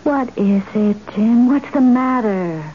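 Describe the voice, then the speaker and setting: An actress playing an anxious, questioning wife in a radio drama. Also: female voice, a little high, slow. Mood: worried, scolding. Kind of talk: 1970s radio drama acting. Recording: dull old radio recording, faint hiss.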